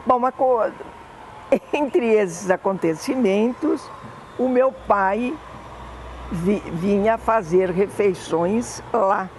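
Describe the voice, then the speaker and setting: An older woman with a Brazilian Portuguese accent, fondly recalling family memories in an oral history interview, often pausing to correct herself. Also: medium pitch, darkish tone, slight background noise. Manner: fond, meandering reminiscing with self-corrections.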